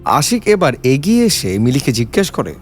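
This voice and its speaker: A man's voice: medium pitch, wildly swooping pitch, normal speed, soft background music. A man giving a dramatic scripted narration of an audio story.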